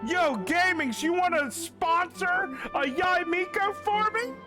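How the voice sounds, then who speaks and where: A man's voice: extremely high pitch, takes it slowly. A man chatting casually as a game streamer, slangy and exclamatory, often repeating himself. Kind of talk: casual live stream chat. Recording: game music behind the voice.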